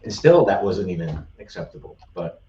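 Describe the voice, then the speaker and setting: Deep-voiced man calling in from far away, echoey and muffled, with dark tone. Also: very animated, moderate pace, slight background noise. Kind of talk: casual chat. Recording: far-off roomy muffled line.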